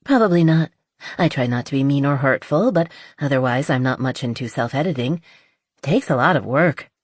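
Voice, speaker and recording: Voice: medium pitch, lively intonation, moderate pace, no echo, studio quality, close to an everyday voice. Narrator doing a mouthy young woman in an audiobook, exasperated n playful, kinda haughty. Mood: blunt, teasing. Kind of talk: audiobook character dialogue.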